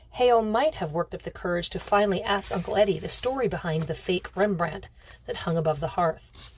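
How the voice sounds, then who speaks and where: Woman, storybook narrator, lowish pitch, a little husky, expressive. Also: low-quality recording. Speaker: reader of a novel.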